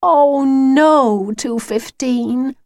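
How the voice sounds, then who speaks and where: A woman voicing a blunt, exasperated young schoolgirl in a children's story, brisk and impatient. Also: sits high, very animated, dry recording, pitched above the performer's own voice. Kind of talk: children's storybook character reading.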